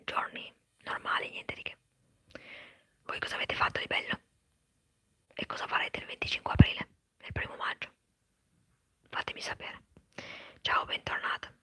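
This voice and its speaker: A woman whispering quickly and chattily in an ASMR live stream, answering viewers' comments. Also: sharp tone, no room sound. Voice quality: breathy whisper. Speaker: ASMR creator.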